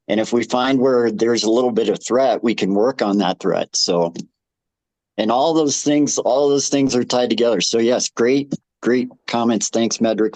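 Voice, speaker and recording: A man giving informal advice in an online discussion, measured and rephrasing as he goes. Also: medium pitch, a little mellow, no room sound, low-quality recording. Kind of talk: informal advisory talk.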